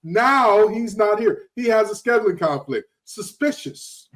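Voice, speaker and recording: A fairly high-voiced man gives casual sports commentary on a panel show. He is emphatic and likes to repeat a phrase to drive it home. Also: animated, a little slow, a bit bright.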